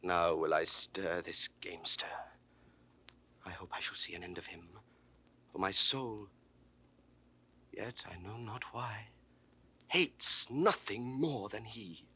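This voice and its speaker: An actor playing a scheming, envious young gentleman in a classic Shakespeare audio drama, theatrical and emphatic, as if confiding a plot. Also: lowish voice, takes it slowly, long pauses, a little hiss or hum. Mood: brooding, envious. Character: scheming, envious young gentleman plotting against his brother.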